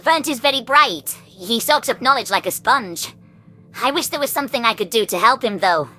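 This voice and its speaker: A woman's voice: a little high, animated, moderate pace, pushed and bright. A woman playing a distraught, apologetic insect-like alien mother in an audio drama, anxious and pleading. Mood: subdued, grateful. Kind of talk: audio drama character acting. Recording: music under the voice.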